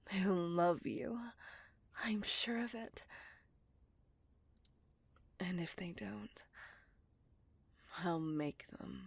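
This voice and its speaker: An ancient female vampire acted by a woman speaks in a very slow, breathy whisper. She is obsessively loving with an edge of menace, drawing out her words in an intimate ASMR roleplay. The sound is close and dry. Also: mid-range voice, dry recording, heavily acted. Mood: soothing, possessive. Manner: slow, intimate, lingering delivery with sighs. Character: possessive five-hundred-year-old female vampire, obsessively loving, menacing.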